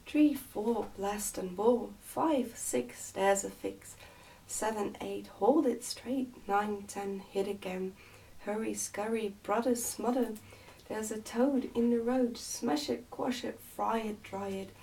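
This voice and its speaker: Lady playing a brave cheery miner lad in a storybook, easygoing, plain talking, bit creaky. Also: mid-range voice, steady tone, slow, mellow, recorded from a distance, low-quality recording. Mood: chanting, taunting. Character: brave, cheerful, good-natured miner boy.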